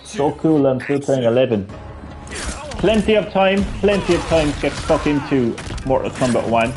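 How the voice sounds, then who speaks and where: Rambling gamer with soft music. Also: male voice, a little high, expressive, normal speed. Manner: rambling, many fillers and restarts. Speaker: gaming streamer. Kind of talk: casual gameplay commentary.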